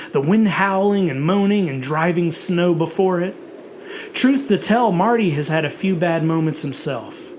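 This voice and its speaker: A narrator reading a horror story in a theatrical, dramatic way, with emphatic, drawn-out words. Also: medium pitch, slight background noise.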